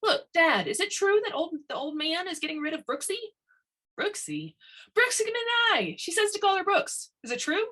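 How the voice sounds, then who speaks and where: A woman voicing an excitable, insistent male metalsmith, a gossipy space-station worker, in a storybook reading. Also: very high voice, normal speed, pitched above the performer's own voice, exaggerated voice. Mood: agitated.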